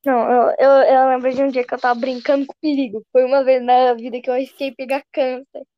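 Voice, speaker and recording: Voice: high-pitched, little pitch movement, fast talker, dry recording. A podcast host in casual chat, joking and playful. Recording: dry, dull lo-fi recording.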